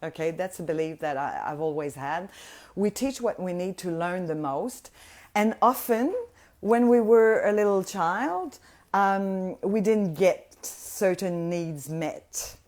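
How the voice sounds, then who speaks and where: A woman's voice: lowish voice, slow pace, a little mellow. A woman with a French accent giving a gushing, effusive motivational talk to an online audience, often restarting her sentences. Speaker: guest speaker and mentor.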